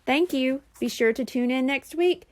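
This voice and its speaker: A woman reading a children's chapter book aloud in an expressive storybook style. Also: sits high, moderate pace.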